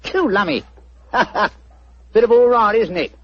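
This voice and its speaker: An actor playing a cheeky, chummy furniture remover with a Cockney accent, coaxing and full of slang, in 1940s radio acting. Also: male voice, high-pitched, expressive, takes it slowly, a little hiss or hum. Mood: cheery, coaxing. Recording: dull old radio recording.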